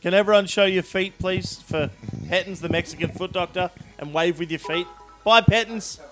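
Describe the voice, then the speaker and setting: A young man who streams, telling stories on a couch in an animated way and laughing often. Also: high voice, normal speed, music in the background, rough recording. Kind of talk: casual group chat. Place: living room couch with others.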